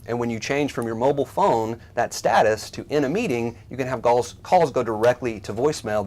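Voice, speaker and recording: A man co-hosting a workplace tech show, giving measured, scripted explanations with light, dry banter. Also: mid-range voice, a little mellow.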